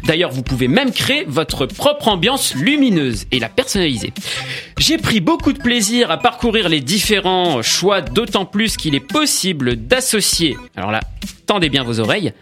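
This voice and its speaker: Animated high-voiced man presenting on radio. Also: normal speed. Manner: chatty, joking. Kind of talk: radio tech segment with banter. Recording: faint music behind.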